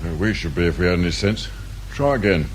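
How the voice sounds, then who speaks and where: An actor playing a dry, businesslike, world-weary English police inspector in a British radio drama. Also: male voice, low voice, expressive, fast. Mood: wry, businesslike. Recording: faint noise behind radio drama recording.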